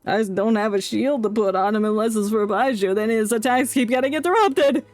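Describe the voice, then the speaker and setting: A woman's voice: a little high, brisk, no room sound. A woman doing casual livestream game commentary, rambling and reacting aloud in an irreverent way. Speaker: game streamer. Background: game music.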